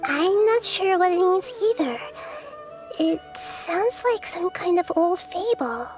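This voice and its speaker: An actress voicing a gentle, wise goddess with a youthful sound who speaks calmly and thoughtfully in a game cutscene. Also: female voice, extremely high pitch, slow, soft background music, exaggerated voice. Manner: calm, thoughtful, scholarly. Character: gentle, wise young-looking goddess, calm and curious.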